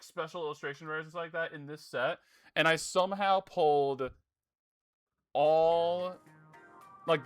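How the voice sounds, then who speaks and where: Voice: mid-range voice, a bit bright. Chatty joking game streamer. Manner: chatty, joking, lots of filler "like". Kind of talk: casual gameplay commentary.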